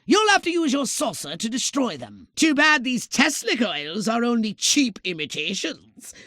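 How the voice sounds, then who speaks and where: A man's voice: very high-pitched, very animated, moderate pace, sharp tone, no echo, clean studio sound, exaggerated voice. A man playing a small, haughty, impatient alien commander in a video game, giving orders in pompous, theatrical, scolding tones with clipped formal diction. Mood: commanding. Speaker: mission controller giving orders.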